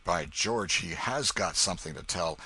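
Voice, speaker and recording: Bir adamın oynadığı gür sesli, iyi yürekli yaşlı çiftçi. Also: male voice, sits low, moderate pace, husky, exaggerated voice. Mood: hearty. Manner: loud, hearty and commanding. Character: hearty old Welsh farmer, bluff and kindly.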